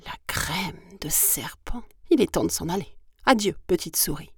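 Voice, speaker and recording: A woman voicing a sly storybook snake, falsely friendly and easily frightened, in a hushed, coaxing manner. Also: lowish voice, lively intonation, brisk, gravelly, breathy edge, no echo, clean studio sound, exaggerated voice. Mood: nervous.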